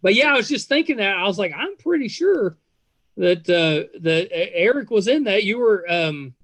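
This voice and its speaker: A man's voice: high-pitched, animated, normal speed, fairly bright tone, no room sound. A man in his fifties hosting a casual interview, chatty and self-deprecating as he tells anecdotes. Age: 50s. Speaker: podcast host.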